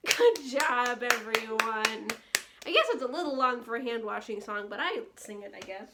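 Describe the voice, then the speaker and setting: A woman's voice: fairly high pitch, lively intonation, moderate pace, background noise. A woman storyteller presenting picture books for young children, speaking to them directly and playfully. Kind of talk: picture-book story time with read-alouds and sing-along.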